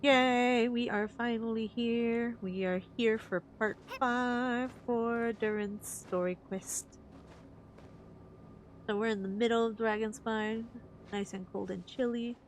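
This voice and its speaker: A woman's voice: on the high side, steady tone, takes it slowly, long pauses, a little hiss or hum. A woman game streamer giving casual live commentary on a playthrough.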